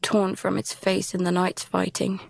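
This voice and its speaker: Woman playing young survivor, slow pace, husky breath, frightened, exhausted, audio drama narration. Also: medium pitch, completely flat delivery. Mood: hushed, drained dread.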